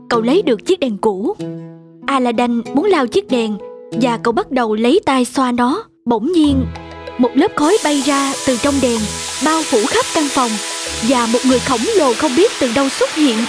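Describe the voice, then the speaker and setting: A woman storyteller reading a scripted fairy tale for children. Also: on the high side.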